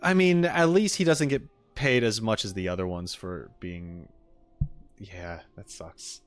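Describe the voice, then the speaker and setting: A man's voice: deep voice, a little slow, dry recording. A man chatting casually on a livestream as he reacts to game clips, opinionated and jokey, speaking into a close microphone.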